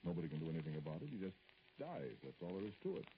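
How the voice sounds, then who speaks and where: Real deep voiced uneasy cranky guy confiding his dread, old radio horror, muffled recording. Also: big pitch swings, a little hiss or hum. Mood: defensive, grim. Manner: halting, brooding, confiding in the listener. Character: troubled, irritable male committee speechmaker narrating his growing dread. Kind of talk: old-time radio horror acting, first-person narration.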